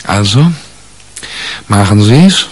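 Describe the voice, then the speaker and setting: A wry, deal-making newspaper reporter in a crime radio play. His voice is very deep and rough around the edges. He talks colloquially, with a sardonic, wary edge. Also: very animated, takes it slowly, heavily acted. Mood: probing, sardonic. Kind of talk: 1980s German radio crime drama, dialogue and first-person narration.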